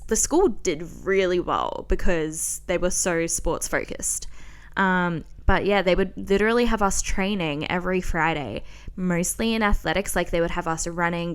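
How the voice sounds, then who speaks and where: A woman's voice: mid-range voice, clean tone. A young woman with an Australian accent chatting casually on her own as a podcast host, informal, sweary and self-deprecating. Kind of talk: casual solo chat, personal storytelling.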